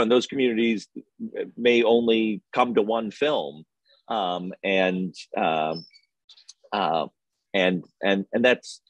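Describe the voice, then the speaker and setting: A man's voice: sits low, no room sound. A man answering informally as an interview guest, hesitant and often repeating words as he searches for the next, on dull audio. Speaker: film festival organiser, interview guest.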